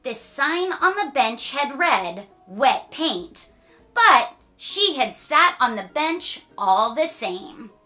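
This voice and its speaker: A woman reading a children's story aloud with playful asides and dramatic, drawn-out emphasis. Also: high-pitched, big pitch swings, sharp tone, faint music behind. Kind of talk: animated read-aloud storytelling.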